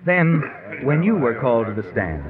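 An actor playing a knowing, sinister storyteller, dramatic and insinuating, in 1950s American radio suspense style. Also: male voice, medium pitch, sing-song, normal speed, a crowd in the background, natural voice. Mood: knowing menace. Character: sinister, knowing storyteller taunting the guilty man. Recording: tinny 1950s radio recording. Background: dramatic music.

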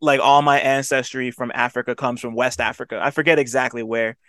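A man with a smooth, resonant voice talks in a relaxed, halting way over a video call. The sound is dry and close. He pauses often on fillers. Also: mid-range voice, no room sound. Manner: laid-back, hesitant, many fillers. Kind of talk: casual chat.

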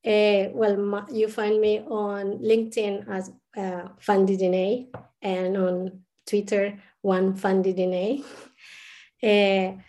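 A woman's voice: mid-range voice, flat delivery, takes it slowly, warm tone. A woman from Colombia whose first language is Spanish, talking as a podcast guest in a thoughtful way, with many restarts and fillers. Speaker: podcast guest, international educator. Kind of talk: casual interview talk.

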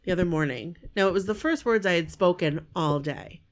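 A hoarse, congested woman from Maine co-hosting a casual podcast, chatty and quick to laugh. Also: on the low side. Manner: chatty storyteller, laughs often. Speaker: podcast co-host. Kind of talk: casual chat.

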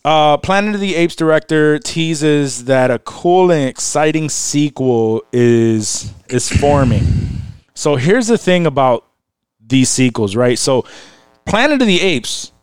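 Profane, rambling man with a crisp voice. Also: on the high side, lively intonation, takes it slowly, dry recording. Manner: profane, rambling, laughs often. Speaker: podcast co-host. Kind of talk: casual chat.

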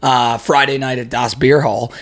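A man hosting a podcast, his voice hoarse, chatting in an upbeat, mock-grand way with plenty of swearing. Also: medium pitch, fairly even intonation, moderate pace. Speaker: podcast host. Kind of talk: casual crude banter. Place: room in a house used as studio.

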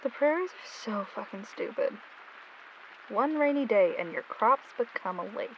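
A woman's voice: medium pitch, animated, normal speed, dull audio, a crowd in the background, noisy background, close to an everyday voice. A woman playing a grumbling, sardonic, foul-mouthed young woman in a naturalistic audio drama. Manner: muttering complaints, sarcastic.

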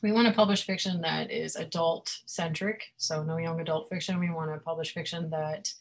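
A woman talking casually in an interview about her writing and publishing, chatty, self-deprecating and quick to laugh. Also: sits low, steady tone. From Florida. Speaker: guest author and publisher.

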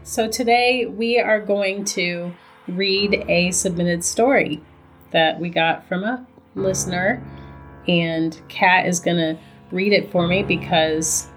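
A woman from Ohio co-hosting a podcast, her voice raspy right now, chatting casually and laughing often. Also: fairly low pitch, slow pace, a bit bright, soft background music. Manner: laughs often, says "girl". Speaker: podcast co-host. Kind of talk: casual chat.

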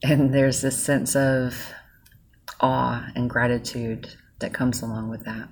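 A woman guides a gratitude meditation, speaking softly and soothingly right to the listener. Her voice sits very low, with a gravelly, husky texture. She takes her time, letting each phrase settle. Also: level tone. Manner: soft, slow and soothing, speaking directly to the listener.

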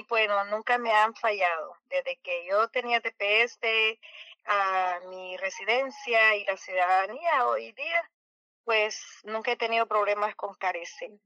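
Salvadoran woman, middle-aged, radio guest; slow, halting delivery with lots of fillers, bright tone, slightly muffled line. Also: mid-range voice, dry recording. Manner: hesitant, many fillers. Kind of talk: casual radio interview.

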